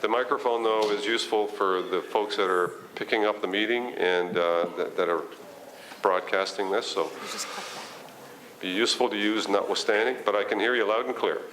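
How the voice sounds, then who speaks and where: Low-voiced man chairing a meeting. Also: normal speed, fairly bright tone, slight background noise, rough recording. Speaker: mayor chairing a board meeting. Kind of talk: formal meeting procedure, informal asides.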